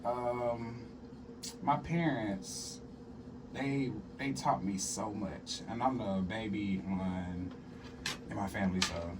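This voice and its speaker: A man's voice: on the low side, takes it slowly, recorded from a distance, noisy background. A young man from southern Arkansas, a comedian and musician, chatting casually as an interview guest and telling stories with a laugh. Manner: laid-back, laughing, storytelling.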